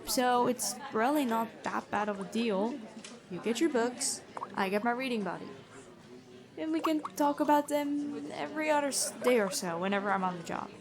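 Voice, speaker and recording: Woman playing a gentle, high-voiced female prison guard. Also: lively intonation, moderate pace, a crowd in the background. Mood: confiding. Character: stern but gentle noble-born female prison guard, curious, bookish. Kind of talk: ASMR fantasy roleplay, addressing the listener.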